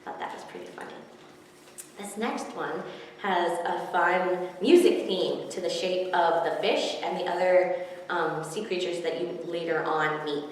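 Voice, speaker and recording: A woman in her thirties hosting a children's story time, reading expressively with casual asides. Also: fairly low pitch. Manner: expressive, speaking to young children. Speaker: children's librarian reading picture books. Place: indoor room before a forest backdrop. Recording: echoey room, distant mic, faint noise.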